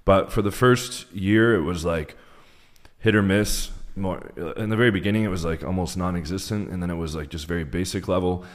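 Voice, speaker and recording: A man with an American accent and a low-pitched voice talks as a guest on a podcast. His delivery is casual and rambling, with "like" scattered all through his sentences. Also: fairly quick. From United States. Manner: rambling, peppered with "like". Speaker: podcast guest, dating coach. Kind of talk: casual conversational interview answers.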